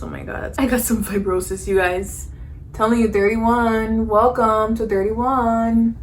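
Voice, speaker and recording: A woman in her thirties talks through a makeup routine in a loose, chatty way, tossing in jokes and plenty of fillers. Her voice sits a little high and stays animated. A faint hum runs under the home recording. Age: 30s. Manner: chatty and animated, filler-heavy, jokey asides. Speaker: beauty vlogger. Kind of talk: casual makeup chat to camera.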